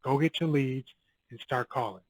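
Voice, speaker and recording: A man's voice: mid-range voice, moderate pace, dry recording, rough recording. A man talking straight to camera, giving direct coaching advice on cold calling and repeating his key points. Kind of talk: casual advice video to camera.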